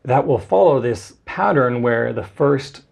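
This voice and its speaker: A young man explains things in a relaxed, rambling way, threading his points together with lots of filler words. His voice has a creaky edge and a slightly husky grain, at an ordinary middle pitch. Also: unhurried. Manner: loose and explanatory, many "like" fillers. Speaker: interview guest, Japanese tutor. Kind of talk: casual conversational interview.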